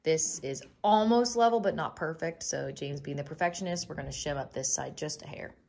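Chatty woman, low voice, noisy basement. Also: a little fast, vocal fry. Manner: upbeat, teasing, chatty. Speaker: co-host of a home renovation channel. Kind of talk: casual narration of a home project. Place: unfinished basement, indoors.

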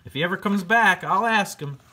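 Guy doing a chipper little bat from a kids book, high and bright, bouncy delivery. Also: brisk, heavily acted. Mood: chatty. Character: chatty male bat hanging in a library.

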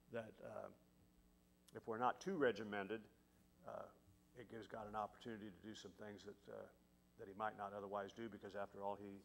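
A middle-aged pastor with a fairly low voice speaks from a podium mic in a large church sanctuary. His talk is folksy and relaxed, dotted with hallelujahs. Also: creaky. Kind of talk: casual sermon and prayer time.

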